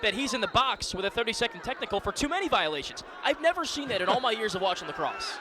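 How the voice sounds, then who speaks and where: A man's voice: sits high, animated, fast. A man working as colour analyst on a live sports broadcast, explaining plays and giving his opinion. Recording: noisy live broadcast from the game. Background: clear game noise.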